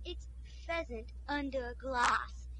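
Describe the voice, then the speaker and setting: A woman playing a shrill snooty society lady. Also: slow, pushed and bright, exaggerated voice, low-quality recording. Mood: haughty. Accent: put-on posh English, then dropped. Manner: prim and haughty, turns to screaming insults. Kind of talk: comedy sketch acting.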